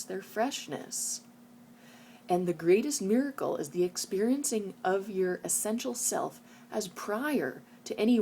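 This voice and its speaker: Mellow-voiced, reflective woman over background noise. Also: mid-range voice. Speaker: vlogger sharing a book recommendation. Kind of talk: reading book passages aloud and informal reflective talk.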